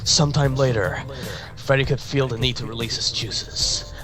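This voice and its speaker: Guy doing a dramatic fanfic read, trips over words a bit, medium pitch, music playing loud behind him. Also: fairly even intonation, normal speed, low-quality recording. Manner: stumbles and repeats words while reading. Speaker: reader of fan fiction. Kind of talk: dramatic fan fiction reading.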